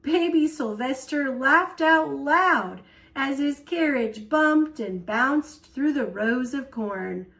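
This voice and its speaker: A woman reading a children's picture book aloud as storyteller, with expressive delivery and playful emphasis. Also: sits high, slow pace.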